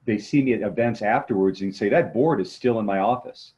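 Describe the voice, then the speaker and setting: A man's voice: lowish voice, a little fast, mellow, highs cut off. A man speaking as an interview guest and motivational coach, explaining things conversationally and posing rhetorical questions. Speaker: guest, motivational coach and martial arts trainer.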